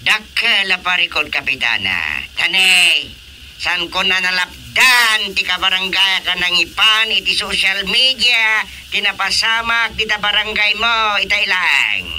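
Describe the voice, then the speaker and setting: Radio drama village chairman, Ilocano accent, high and piercing, indignant, forever complaining with emphasis. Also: lively intonation, measured pace, pushed and bright, slight background noise, exaggerated voice, rough recording. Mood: aggrieved. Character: indignant male village chairman lodging a grievance.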